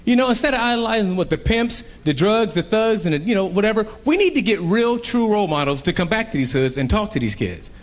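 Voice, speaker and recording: A young man performing a stand-up comedy set on a club stage, telling animated stories and acting out characters. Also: high-pitched, fast, few pauses. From Columbus, Ohio. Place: comedy club stage before a laughing crowd. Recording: live club recording with crowd laughter and noise.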